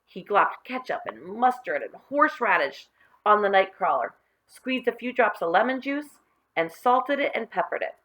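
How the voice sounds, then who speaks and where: A woman reading a children's story aloud, with dramatic, deliberate phrasing and expressive narration. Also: medium pitch, rough recording.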